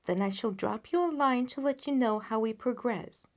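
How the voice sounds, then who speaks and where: A woman voicing a cool, unruffled gentleman detective in a storybook, with a light, nonchalant, questioning manner. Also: fairly high pitch, moderate pace, voice pushed up, natural voice. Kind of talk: dramatised audiobook reading.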